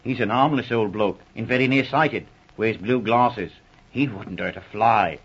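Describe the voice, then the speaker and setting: A man's voice: fairly low pitch, a little slow, slightly rough. An actor playing a flustered, humble police subordinate and father in an old radio drama, with hesitant, folksy, ungrammatical speech. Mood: earnest, deferential. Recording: muffled old 1940s radio recording.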